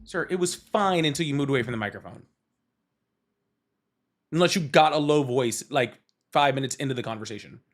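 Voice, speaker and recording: A man hosting a live political call-in show, pressing a caller with rapid, interrupting questions in a heated debate. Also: medium pitch, lively intonation, faint music behind.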